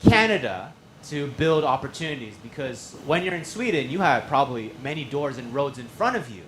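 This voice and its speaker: A man's voice: mid-range voice, big pitch swings, brisk. A man hosting an audience Q&A at a live speaking event, upbeat and direct. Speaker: host. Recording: noisy live venue.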